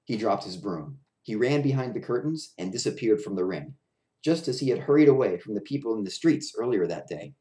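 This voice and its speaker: A man's voice: fairly low pitch, animated, a little fast, dark tone. A man in his thirties reading a children's story aloud in a small room at home. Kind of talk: children's picture-book read-aloud. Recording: roomy echo of a bare room.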